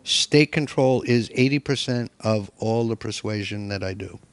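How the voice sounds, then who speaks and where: A man's voice: on the low side, normal speed. A middle-aged man presenting a workshop in a hotel meeting room, coaching people step by step.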